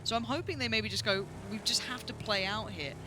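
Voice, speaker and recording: A man casting a live esports match on a headset, getting excited and shouting when fights break out. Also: high voice, a little fast, noisy background. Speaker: esports caster.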